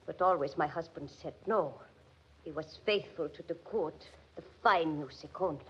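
An actress playing an anxious, gentle German immigrant widow in a 1940s radio drama, speaking with a German accent in halting, pleading, formal phrases. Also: female voice, sits low, breathy edge, full character voice. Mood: wistful, proud. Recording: muffled vintage 1940s radio recording.

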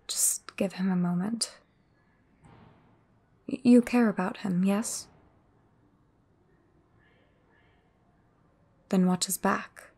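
A woman playing a cryptic, foreboding seer in an audio roleplay, with a husky, breathy voice and measured, earnest delivery. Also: medium pitch, a little mellow. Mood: earnest, grave. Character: cryptic, foreboding female seer offering grave warnings.

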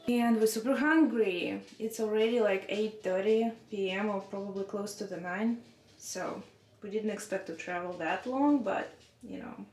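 A woman's voice: medium pitch, echoey room. Chatty young woman vlogging on a trip. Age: young adult. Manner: chatty, relaxed, with fillers like "like" and "you know". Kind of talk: casual travel vlog. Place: hotel bathroom and outdoor viewpoints. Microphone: handheld camera at arm's length.

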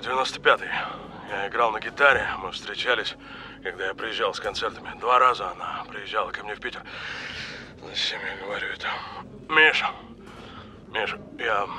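Bright-voiced, regretful estranged father. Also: fairly low pitch, a little fast, pauses often, a little hiss or hum. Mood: urgent, regretful. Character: ailing estranged father, ageing rock musician, regretful. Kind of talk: audio drama acting.

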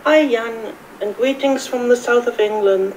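Middle-aged woman, German accent, measured friendly delivery, distant mic, faint background noise. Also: a little high, darkish tone. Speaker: viewer sending in a video. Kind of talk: prepared video message.

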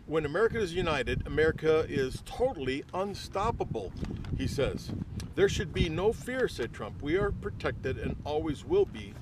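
Older male vlogger, earnest and emphatic, recorded outdoors with clear ambient noise. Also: a little high, very animated, normal speed. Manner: earnest, reads quotes aloud with emphasis. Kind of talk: casual outdoor video talk. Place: open field outdoors by a tower. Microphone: camera at arm's length.

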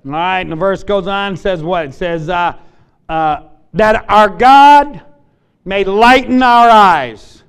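An older American man preaching a folksy revival sermon in a small church, telling stories and shouting at the high points. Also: slow, clear, resonant tone. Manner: storytelling, shouts on climaxes, jokes with congregation. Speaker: evangelist. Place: small church sanctuary at a pulpit. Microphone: fixed camera from the pews.

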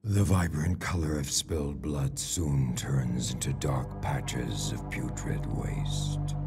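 Loud music under a slow grave male storyteller for an epic war game, portentous. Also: extremely low pitch, heavily acted. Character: solemn, ominous male storyteller of ancient war. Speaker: narrator. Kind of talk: scripted epic narration.

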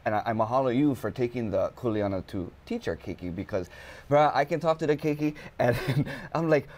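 A man's voice: mid-range voice, expressive, fairly quick, darkish tone. A man, a talk show host speaking casually and expressively and mixing in words. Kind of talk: conversational interview. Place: television studio. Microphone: lapel mic.

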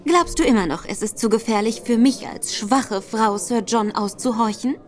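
A woman playing a clever young actress who is in disguise as a gentleman and speaks coolly and sharply. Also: on the high side, normal speed, faint music behind, lightly acted. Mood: mocking. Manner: cool, sharp-tongued. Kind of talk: German audio drama acting. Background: background score.

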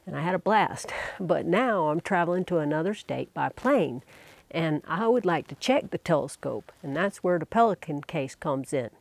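A woman in her sixties talking to camera in a casual, unhurried, plain-spoken explanatory vlog style with dry humor. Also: low-pitched, expressive, gravelly, dry recording. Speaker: vlogger, amateur astronomer.

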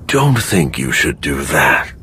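A man playing a smug, menacing video game villain with a raspy voice and a taunting, self-satisfied manner. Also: very animated, slow, noisy background, exaggerated voice. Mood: smug, threatening. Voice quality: very deep, gravelly rasp. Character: smug, menacing villain, cunning bomb-maker.

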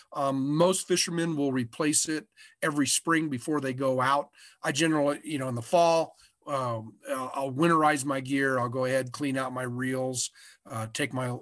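A man in his sixties with an American accent, giving an informal lesson as an instructor, halting and often repeating his words. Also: mid-range voice, fairly bright tone, no room sound, studio quality. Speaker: fishing instructor. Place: small indoor room, curtain backdrop. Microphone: webcam video call.

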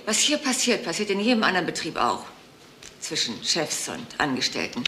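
An actress playing a brisk, dismissive head nurse, dry and bossy, in a German crime radio drama. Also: female voice, lowish voice, big pitch swings, bright, forceful tone, a little hiss or hum. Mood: dry defiance.

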